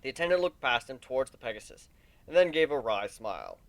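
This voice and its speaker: Animated man narrating a story. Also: medium pitch, fast, dry recording. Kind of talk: dramatic reading of a fan fiction story.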